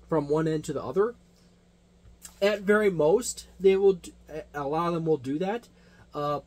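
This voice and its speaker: A man with a somewhat high-pitched voice talks through an unscripted product overview. He explains things step by step in a rambling way, often circling back to rephrase or repeat a point, in the manner of a hobby game reviewer. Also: darkish tone. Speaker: tabletop game reviewer and game master.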